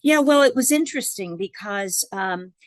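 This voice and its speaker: A woman's voice: medium pitch, animated, normal speed, no room sound. A woman jazz singer and songwriter, a guest in a conversational interview, telling rambling stories with many fillers.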